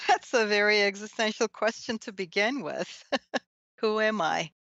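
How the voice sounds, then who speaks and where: A middle-aged woman from Turkey telling her story conversationally as an interview guest, in an animated, tumbling way with self-interruptions and amused asides. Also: medium pitch, a little slow, dry recording, lo-fi sound. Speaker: interview guest, technology and society researcher.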